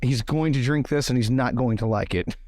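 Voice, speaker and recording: A man's voice: medium pitch, a little fast, a little mellow, no echo, clean studio sound. A man playing a tabletop game, chatting casually about what his character does.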